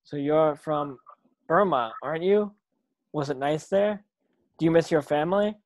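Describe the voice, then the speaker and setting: A man's voice: fairly high pitch, unhurried, natural voice. A man playing a meek, lonely, polite boy in an amateur screenplay table read. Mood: gentle, curious.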